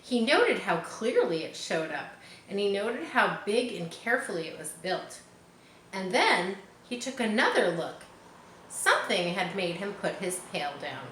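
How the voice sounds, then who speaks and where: A woman reading a children's story aloud in an expressive narrating style. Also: medium pitch, measured pace. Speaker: audiobook reader. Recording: echoey room, faint background noise.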